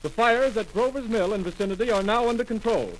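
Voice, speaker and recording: Actor as an urgent bulletin announcer; high-pitched, vintage radio hiss. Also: male voice, fast talker, natural voice. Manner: crisp announcer delivery. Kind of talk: 1930s radio drama acting. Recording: scratchy 1930s radio recording.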